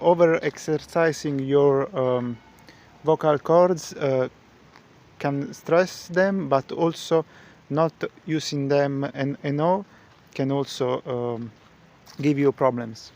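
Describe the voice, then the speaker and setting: A man whose first language is Italian, commenting casually on a stream for a reaction video, halting and searching for his words. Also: on the high side, a little mellow.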